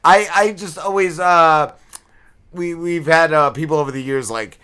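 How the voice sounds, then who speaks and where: A man's voice: a little high, moderate pace, pushed and bright, smooth. A man hosting a comedy talk show, chatting casually in an emphatic, repetitive way with loose language. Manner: emphatic, repetitive, freely swearing. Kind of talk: casual chat.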